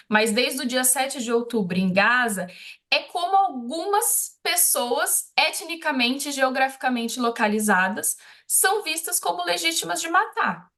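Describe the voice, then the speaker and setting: A woman's voice: sits high, a bit bright. A woman with a Brazilian accent giving spontaneous analytical commentary as an invited expert, explaining things with frequent short check-in tags. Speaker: expert commentator interviewed on a news show.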